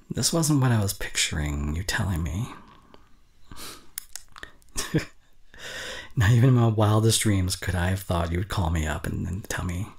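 A young man playing a caring, anxious but reassuring boyfriend in an intimate ASMR audio roleplay, speaking in a tender, halting way with a breathy voice that often drops into whispers. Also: sits low, expressive, darkish tone, no echo, heavily acted. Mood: scared, lost.

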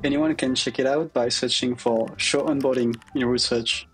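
A man's voice: medium pitch, steady tone, moderate pace, over loud music. Encouraging man recommending a feature. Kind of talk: scripted product tip.

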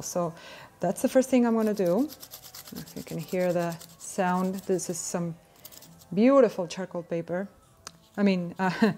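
A woman in her thirties from Argentina, Spanish her first language, with an Argentine accent, hosting a casual drawing tutorial at home in a chatty, gentle way, sometimes searching for words. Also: fairly low pitch. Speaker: art tutorial host. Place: indoor room at home.

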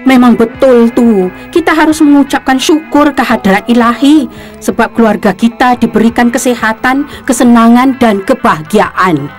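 A woman with a Singaporean Malay accent voicing a kindly, playful elderly grandmother in a cartoon, gently chiding and encouraging. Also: a little high, normal speed, faint music behind, close to an everyday voice. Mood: earnest. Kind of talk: cartoon dialogue.

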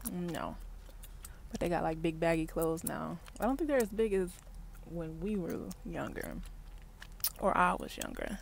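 A woman, chatting casually in a parked car for an ASMR video, often dropping to soft whispers, recorded on a wired earbud mic. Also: on the low side, big pitch swings, unhurried, gravelly, long pauses. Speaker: ASMR vlogger. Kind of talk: ASMR gum-chewing car chat. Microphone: wired earbud mic held near mouth. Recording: faint background noise, mouth clicks.

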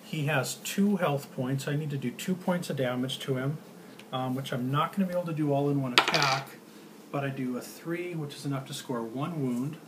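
A man's voice: mid-range voice, moderate pace. A middle-aged man explaining card game rules while playing, recorded across a table in a small room. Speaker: board game demonstrator and player. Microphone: handheld camera across the table. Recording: noisy amateur room recording.

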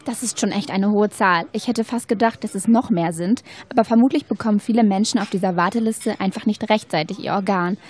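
Young female student played by a woman, mid-pitched. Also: a little fast, few pauses, a little mellow, slightly rough, natural voice. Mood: curious. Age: young adult. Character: curious, open-minded young female university student. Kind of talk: scripted educational radio-play dialogue.

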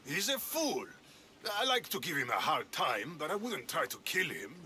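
A blustering, mocking villager from a video game, with a piercingly forceful, highly animated voice. He is bull-headed and scornful, over faint ambient noise. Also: normal speed, exaggerated voice.

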